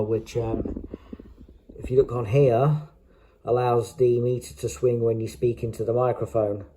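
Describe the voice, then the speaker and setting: A man giving a casual, unscripted product walkthrough in a halting way, often repeating himself as he explains. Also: medium pitch, mellow. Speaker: hobbyist presenter.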